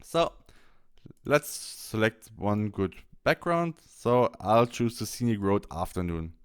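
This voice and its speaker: A man presenting a software tutorial, explaining step by step in a halting instructional walkthrough. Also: lowish voice, big pitch swings, clean tone. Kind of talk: instructional screen-capture walkthrough.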